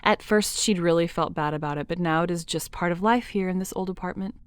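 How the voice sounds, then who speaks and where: A woman reading scripted narration for an audio drama, in a lively, expressive way. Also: lowish voice, fairly quick, clear, resonant tone, no echo.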